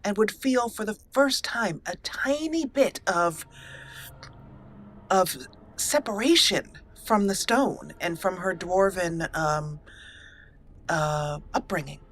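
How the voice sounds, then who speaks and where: A woman's voice: medium pitch, expressive, slow, a little hiss or hum. A woman hosting a role-play game session, commenting casually with hesitations and self-corrections. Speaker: let's play host.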